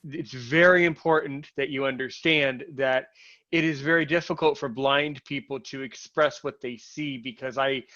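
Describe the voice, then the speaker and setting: An American man talking in a casual interview. He is animated, repeats and restarts his words when he gets excited, and comes through a video call. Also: medium pitch, normal speed. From United States. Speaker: interviewee and show host. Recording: lo-fi online call audio.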